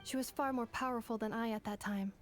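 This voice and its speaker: Woman as a high-voiced, formal machine girl. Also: fairly even intonation, faint music behind, close to an everyday voice. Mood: explanatory. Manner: formal, measured, literal.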